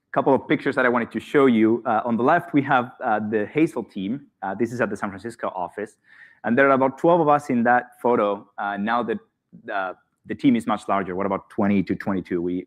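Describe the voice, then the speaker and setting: Spanish-accented man giving a conference talk. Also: lowish voice. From Spain. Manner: hesitant, many fillers and restarts, light laughs. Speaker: conference speaker. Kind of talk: live conference presentation. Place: conference hall.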